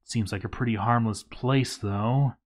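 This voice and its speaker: A man narrating a written game playthrough log in a dramatized read-aloud style, theatrical and full of deliberate pauses. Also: medium pitch.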